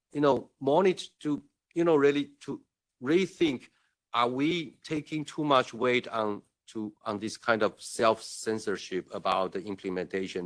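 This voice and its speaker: A man's voice: on the low side, fairly bright tone. A man from Taiwan, speaking as a diplomatic representative at a conference, earnest and emphatic with frequent restarts. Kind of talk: conference speech. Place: conference room at a parliament.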